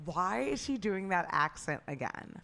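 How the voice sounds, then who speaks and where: Give me a woman with a fairly low voice, rambling through casual podcast gossip. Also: very animated, measured pace, gravelly, pushed and bright. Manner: rambling, heavy use of "like". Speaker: podcast co-host.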